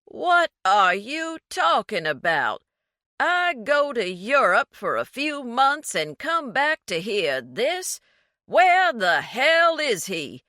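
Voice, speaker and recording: A woman voicing a man who poses as a snobby rich client, shouting in a slow, condescending drawl. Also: mid-range voice, sing-song, dry recording, studio quality, pitched above the performer's own voice, full character voice. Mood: furious. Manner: loud elongated drawl, condescending.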